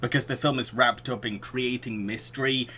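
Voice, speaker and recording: A man's voice: medium pitch, fairly even intonation, normal speed, pushed and bright, slight background noise. A British man hosting a podcast, chatting casually and laughing often. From United Kingdom. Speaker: podcast host. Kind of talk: casual chat.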